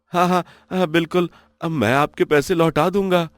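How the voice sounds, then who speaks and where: A man's voice: on the high side, fairly even intonation, moderate pace, dry recording, close to an everyday voice. A man playing an honest, poor village farmer who pleads and laments in a moral story. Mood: pleading.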